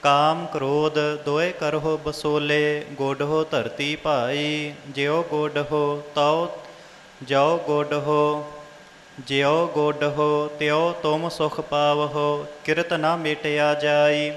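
Man chanting scripture slowly in reverberant hall. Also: a little high, level tone, fairly bright tone, slight background noise. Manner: slow, measured chanting. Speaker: student reciting Sikh scripture. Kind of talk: sing-song devotional scripture recitation. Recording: long hall reverb, music behind.

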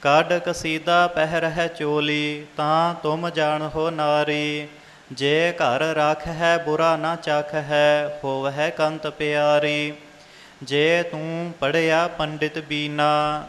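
A man reciting Sikh scripture in a measured, sing-song devotional chant. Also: fairly high pitch, level tone, a bit bright. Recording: long hall reverb, music behind.

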